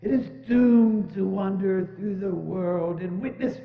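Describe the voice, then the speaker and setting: Solemn male phantom, acted by a man, declamatory and unhurried, stage delivery, muffled with long hall reverb. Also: high voice, vocal fry, faint music behind. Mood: solemn, doomful. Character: solemn, mournful male ghost of dead business partner. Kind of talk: dramatic stage reading.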